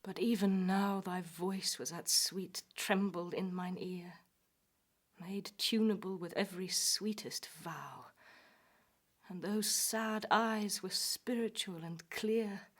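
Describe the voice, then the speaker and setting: An actress plays a young medieval maiden, tender, frightened and lovelorn. Her voice is very breathy and half-whispered, and she speaks her audio-drama verse very slowly, in plaintive, pleading tones. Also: mid-range voice, steady tone. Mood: sorrowful wonder.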